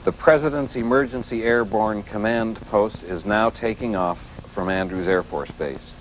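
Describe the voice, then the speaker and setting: An actor playing a solemn, weary 1980s TV news anchor with measured, halting delivery. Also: male voice, fairly low pitch, natural voice. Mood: somber, reflective. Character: grave, weary male network news anchor covering nuclear crisis. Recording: noisy old TV broadcast audio.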